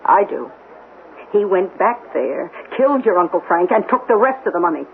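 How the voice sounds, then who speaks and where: A woman's voice: on the low side, very animated, moderate pace, gravelly. Stubborn American mother, old radio sound. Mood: probing. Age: middle-aged. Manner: insistent, challenging, pointed. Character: determined, stubborn mother defending her accused son. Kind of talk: 1970s American radio drama acting. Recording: narrow, hissy old radio recording.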